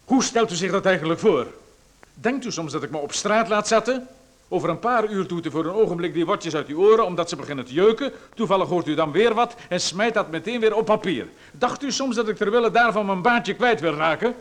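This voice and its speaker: A man playing a smooth, menacing official in a radio drama, condescending and coaxing. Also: sits high, lively intonation, fairly quick. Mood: patronizing. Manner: condescending, coaxing then threatening.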